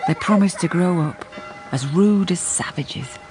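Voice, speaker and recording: A woman playing a sensible servant who narrates a radio period drama in a measured, confiding way. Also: lowish voice, music in the background, close to an everyday voice, rough recording. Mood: reflective. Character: sensible female servant, calm storyteller looking back.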